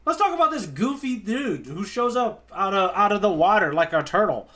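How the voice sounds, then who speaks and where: A man's voice: sits high, very animated, normal speed, sharp tone. A man co-hosting a podcast, talking in a casual, slangy way and often repeating words as he thinks aloud. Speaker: podcast co-host. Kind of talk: casual conversational discussion.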